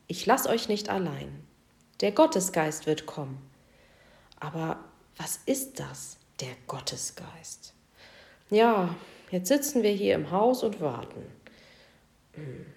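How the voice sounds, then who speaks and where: Lady doing a confused worried disciple, slow and halting, slips into whispers. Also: on the low side, a little mellow. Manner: hesitant, musing, dropping to a whisper. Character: worried, confused friend of Jesus.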